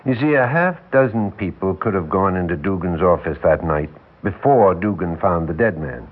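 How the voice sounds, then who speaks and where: An actor playing an older, world-weary defense lawyer, folksy and wry, with rambling, emphatic delivery in a 1960s radio drama. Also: male voice, deep voice, narrow, tinny audio. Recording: band-limited vintage 1960s radio recording.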